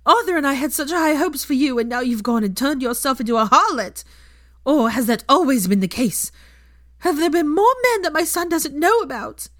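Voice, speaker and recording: A woman's voice: high-pitched, animated, normal speed, fairly bright tone, no room sound, clean studio sound, voice pushed up. A woman voicing a furious, indignant middle-aged mother who scolds and accuses with heavy emphasis. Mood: outraged.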